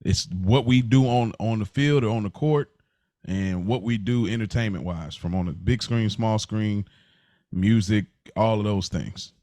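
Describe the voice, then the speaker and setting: An American man with a somewhat low voice chats as a podcast co-host. His talk is casual and informal, with everyday slang. The recording is very dry, free of echo. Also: measured pace, dark tone. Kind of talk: casual conversation.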